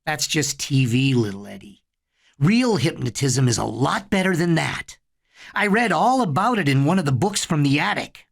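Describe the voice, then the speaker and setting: A woman's voice: sits low, big pitch swings, moderate pace, creaky, exaggerated voice. A woman voicing an impatient older boy who coaxes his little brother. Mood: coaxing. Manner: impatient, coaxing.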